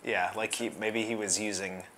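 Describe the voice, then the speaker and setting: A woman's voice: a bass voice, fairly even intonation, moderate pace, a bit bright, slight background noise. A woman co-hosting a show, chatting casually in a playful, jokey way. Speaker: co-host of a movie-themed homebrewing show. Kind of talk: casual conversational chat.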